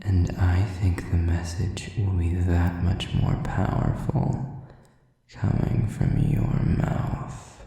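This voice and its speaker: Man acting a slow, dark, whispering sadistic demon. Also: deep voice, lively intonation, very echoey, distant mic, exaggerated voice. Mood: menacing. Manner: slow, deliberate, breathy whispered menace, taunting. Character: sadistic male demon, cold, menacing, mockingly possessive predator. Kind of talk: intimate audio roleplay acting.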